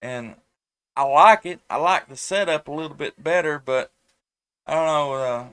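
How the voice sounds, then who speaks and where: A man's voice: on the high side, normal speed, a bit bright, smooth, no room sound. A man, chatting casually and rambling as a ham radio operator on a headset microphone. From United States. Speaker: amateur radio operator and live stream host. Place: at home indoors.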